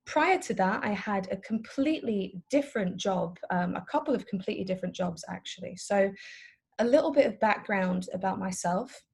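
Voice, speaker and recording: Woman around thirty, native Dutch speaker, slightly raspy voice, medium pitch, chatty informal career talk, explanatory. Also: lively intonation, normal speed, darkish tone. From Netherlands. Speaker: personal trainer and business owner.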